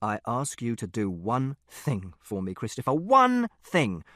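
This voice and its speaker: A man's voice: mid-range voice, lively intonation, normal speed, dry recording, clean studio sound, pitched below the performer's own voice, close to an everyday voice. Audiobook father, played by a man: middle-aged, angry, menacing, emphatic, very dynamic intonation. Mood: exasperated, angry. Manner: menacingly quiet to explosive, emphatic. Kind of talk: audiobook character dialogue.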